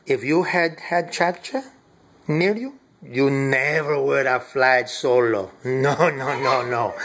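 A man's voice: on the high side, a bit bright, full character voice. A man acting as Cha-Cha, a gushing, flirtatious diva woman, coy and camp, hosting a comic countdown to camera. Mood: coy, flirty. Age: middle-aged. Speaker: character host of a countdown. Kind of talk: comic character monologue to camera. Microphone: webcam or device at arm's length.

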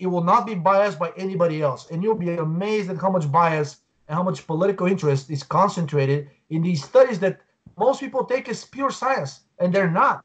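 Guest, man, 40s, from Bulgaria, earnest explainer, home video call, muffled lo-fi sound. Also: sits high. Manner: earnest, explanatory, many restarts. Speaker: guest researcher. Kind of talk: interview over video call. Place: home room, indoors. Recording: dull lo-fi call audio.

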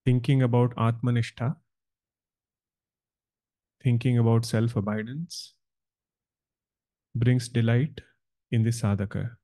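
A man leading a guided meditation as a spiritual teacher, speaking in calm, measured, deliberate short phrases. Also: mid-range voice. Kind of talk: slow guided meditation instruction.

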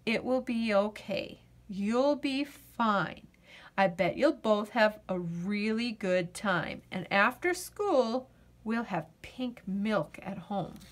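A woman's voice: medium pitch, takes it slowly, natural voice. A woman voicing a patient, persuasive young boy, a big brother who coaxes and reasons, in a children's storybook. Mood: reassuring. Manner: coaxing, reasoning. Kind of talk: children's storybook read-aloud.

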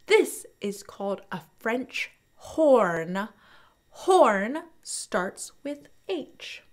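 A young woman hosting a children's storytime, speaking with animated, exaggerated enthusiasm and deliberate enunciation as she teaches. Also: on the high side. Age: young adult. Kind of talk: children's educational presentation.

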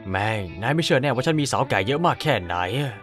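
A sleazy, swaggering cartoon dude, voiced by a dubber, who brags loudly about his conquests. His pitch swings widely around the middle, with faint music behind him. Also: expressive, fast talker, natural voice. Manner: loud, swaggering, boastful. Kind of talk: Thai fan dub of a cartoon.

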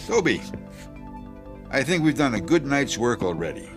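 An actor playing a secretly magical janitor in a radio drama, measured, knowing and quietly threatening. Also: male voice, medium pitch, very animated, music in the background, close to an everyday voice. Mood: feigned innocence. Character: secretly magical janitor, controlled, quietly menacing older soul.

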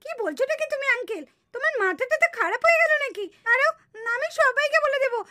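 A woman's voice: very high-pitched, expressive, fast talker, sharp tone, full character voice. A woman voicing an indignant, childlike young doll heroine in a puppet cartoon, plaintive and emphatic.

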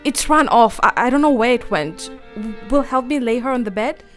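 A woman playing a frail, frightened young gentlewoman in a radio play, gentle and brave. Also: on the high side, lively intonation, moderate pace, soft background music, close to an everyday voice. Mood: shaken, composed. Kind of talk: radio play acting, partly diary narration.

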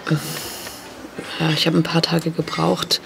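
Creaky-voiced, anxious young woman. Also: sits low, completely flat delivery, background noise, heavily acted. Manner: halting, confessional. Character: anxious, hurt young woman confiding reluctantly. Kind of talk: interview-like audio drama acting.

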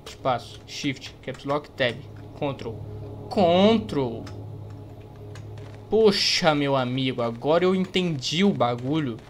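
A man's voice: mid-range voice, lively intonation, slow, a little hiss or hum. A young man with a Brazilian accent giving playful, slangy, casual gameplay commentary as a YouTuber. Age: young adult. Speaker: gaming YouTuber.

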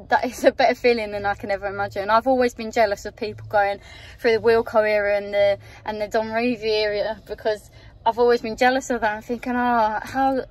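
A young woman from outside Yorkshire, talking emotionally and gushing to her phone camera in a car at night, close to tears. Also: mid-range voice. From England, outside Yorkshire. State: teary. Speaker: football fan. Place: inside a parked car at night. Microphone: phone camera held at arm's length.

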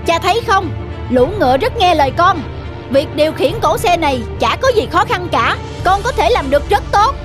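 A woman's voice: very high voice, animated, moderate pace, over music. A woman voicing a headstrong teenage demigod son in a cartoon, earnest, insistent and theatrical. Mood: exultant. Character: headstrong eighteen-year-old demigod son, boastful and eager. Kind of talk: dubbed mythological cartoon acting.

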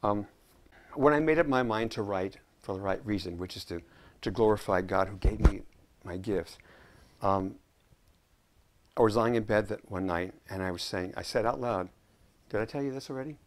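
A man in his sixties with a lowish voice, talking as an interview guest. He tells stories earnestly and drops in quick jokes, recorded on a lapel mic in a living-room set. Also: a little hiss or hum. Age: 60s. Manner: joking, earnest storyteller. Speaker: guest scriptwriter. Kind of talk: casual interview chat.

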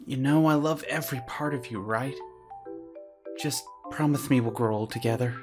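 A woman's voice: a bass voice, moderate pace. A woman voicing a sincere young man in a comic dub. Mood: loving. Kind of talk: amateur comic dub acting. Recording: music under the voice.

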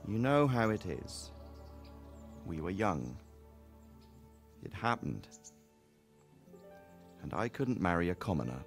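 A fatherly medieval knight in a game cutscene, middle-aged and reflective. His voice moves with lively pitch while he speaks in a measured, warm-hearted way, with soft music faintly behind. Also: vocal fry, exaggerated voice. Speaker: knight lord, father of the hero. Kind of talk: video game cutscene dialogue.

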